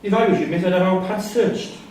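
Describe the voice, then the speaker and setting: A man's voice: high voice, a little fast, a little mellow, lightly acted. Actor as wry male prisoner in echoey room. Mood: dry, insinuating. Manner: droll, gossipy storytelling. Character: wry, chatty male prisoner telling anecdotes. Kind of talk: staged reading of a prison comedy-drama. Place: theatre room at a live staged reading. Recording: distant, very echoey hall, faint noise.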